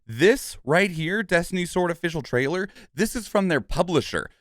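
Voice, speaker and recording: A man's voice: sits high, expressive, moderate pace. A man giving casual, opinionated game commentary as a streamer, speaking emphatically and often checking in with short tag questions. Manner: emphatic, frequently tags statements with "okay?" and "right?"; swears casually.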